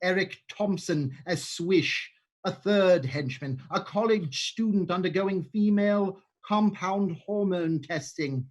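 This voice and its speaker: A man's voice: high-pitched, slow. A man narrating the cast list and stage directions of a play reading, with dry, sarcastic asides. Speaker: narrator and playwright. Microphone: home microphone, livestream.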